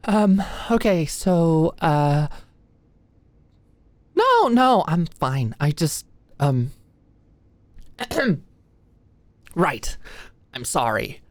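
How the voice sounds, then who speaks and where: Casting: actress as sweet, easily flustered young female medical student for intimate ASMR roleplay; low register, halting delivery, very dry close-miked sound. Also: sing-song, dry recording, heavily acted. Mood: flustered, nervous. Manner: nervous, hesitant, stammering.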